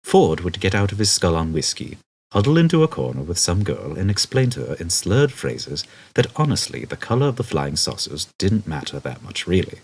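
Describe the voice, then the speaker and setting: A man reads a comic novel as a scripted audiobook. His voice sits low and is rough-edged, and his intonation swings widely as he tells the story. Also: sing-song, normal speed. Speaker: audiobook narrator.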